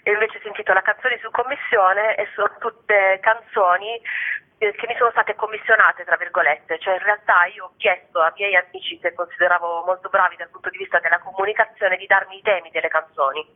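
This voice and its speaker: A woman's voice: medium pitch, steady tone, brisk. Female singer-songwriter guest, casual and chatty, thin telephone-quality radio line. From Bari, southern Italy. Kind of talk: casual spoken interview. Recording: narrow phone-like radio line.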